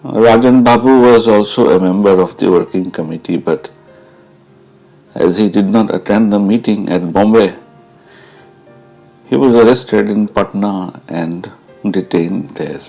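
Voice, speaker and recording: Male memoir reader: creaky, unhurried, measured first-person storytelling with dramatic pauses. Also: fairly low pitch, dark tone, soft background music, poor audio. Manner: measured, deliberate, with pauses between phrases. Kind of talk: dramatised scripted narration.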